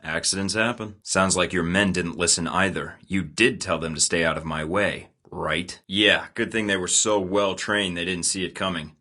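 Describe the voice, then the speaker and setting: A cocky secret agent, deep and husky. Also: male voice, steady tone, normal speed, few pauses, sharp tone. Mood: flirty. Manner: dry sarcastic quips. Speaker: video game protagonist voice. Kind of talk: game voice lines.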